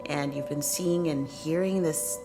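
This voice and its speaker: Game supporting role: loyal crewmate, lowish voice, concerned and grounded, music behind. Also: female voice, steady tone, unhurried. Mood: serious concern. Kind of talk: video game cutscene acting.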